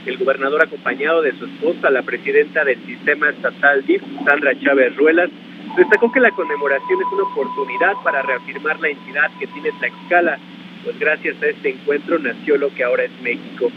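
A man reports live for the news over the phone, formal and quick. The line is tinny and phone-like, with clear noise behind him. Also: fairly high pitch. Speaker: field reporter.

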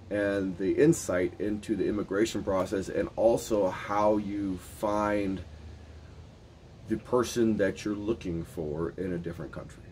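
Deep-voiced man with a runny nose. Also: a little hiss or hum. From Germany. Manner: relaxed, talkative, repeats words as he restarts. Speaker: co-owner of a marriage agency. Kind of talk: casual video introduction. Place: covered porch outdoors.

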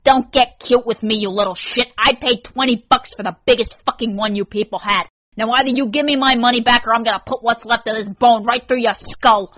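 A woman's voice: mid-range voice, normal speed, a bit bright, no echo, voice pushed up, natural voice. A woman voicing a hot-tempered, sarcastic, foul-mouthed young man in a story narration. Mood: angry, demanding.

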